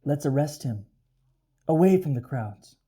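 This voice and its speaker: A stern, scheming temple priest from a storybook, played by a man reading aloud. He is angry and emphatic, with a raised, swooping pitch. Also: animated, unhurried, mellow, slightly rough. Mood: indignant, scheming. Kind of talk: children's storybook read-aloud.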